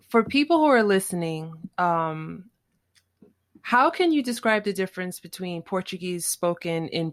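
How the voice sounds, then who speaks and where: A woman's voice: fairly low pitch, no room sound, clean studio sound. A woman hosting a podcast asks her guests questions in an easygoing, conversational way and affirms what they say. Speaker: podcast host. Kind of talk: casual interview chat.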